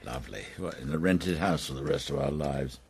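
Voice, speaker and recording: A man's voice: extremely low pitch, fairly quick, gravelly, husky, full character voice. An actor playing a stubborn, proud older English farmer and father in a naturalistic radio soap. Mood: bitter, stubborn. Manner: theatrical, sardonic, sometimes pleading.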